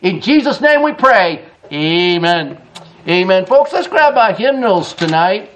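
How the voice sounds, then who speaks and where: A middle-aged man preaching a sermon in a church, emphatic, with quick chuckling asides. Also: sits high, sing-song, a bit bright, slight background noise. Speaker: pastor. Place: church sanctuary.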